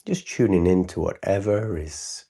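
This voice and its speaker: A man with a low, mellow voice guides a meditation in short, soothing phrases. His tone is husky and soft-edged, and he leaves long gaps as he gently instructs the listener. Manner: spontaneous, unscripted, with long pauses between short phrases. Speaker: meditation teacher hosting a livestream.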